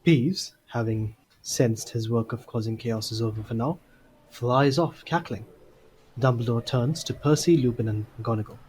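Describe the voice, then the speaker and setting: A man's voice: medium pitch, moderate pace, darkish tone, a little hiss or hum, rough recording. A man reading scripted story narration for an audio drama.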